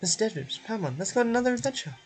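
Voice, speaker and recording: A man's voice: sits high, very animated, rapid-fire, husky, pitched above the performer's own voice, exaggerated voice. A man voicing a timid, wide-eyed little girl in an amateur game dub. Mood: curious, excited.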